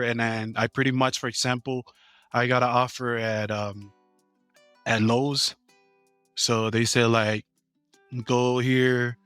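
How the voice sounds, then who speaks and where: A man in his thirties from American Samoa talks through his work in a loose, halting way, filling gaps as he goes. His voice is bright and forward, recorded close and dry with faint music underneath. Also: mid-range voice, clean tone, no room sound. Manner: relaxed, halting, many fillers. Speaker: business owner guest. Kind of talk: casual interview answers.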